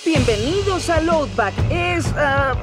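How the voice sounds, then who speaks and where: A woman's voice: sits high, lively intonation, heavily acted. A woman voicing a cheeky, boastful young male steam engine in a Latin American Spanish cartoon dub, with hesitant phrasing. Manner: hesitant, improvising, drawn-out fillers. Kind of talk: animated children's series dubbing. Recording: music behind the voice.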